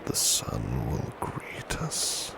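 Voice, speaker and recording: A man playing a big, burly, devoted butler in a soft ASMR roleplay, murmuring in a breathy, polite, tender and protective way. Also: very deep voice, wildly swooping pitch, very slow, noisy background, heavily acted. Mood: sleepy, soothing. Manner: polite, formal, tender, reassuring.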